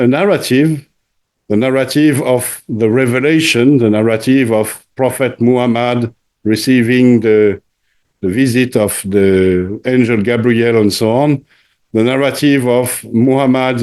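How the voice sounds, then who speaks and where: Slow, mellow-voiced man, hesitant host. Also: mid-range voice. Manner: hesitant, halting, searching for words, repeats words. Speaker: channel host interviewing a returning guest. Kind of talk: conversational interview commentary.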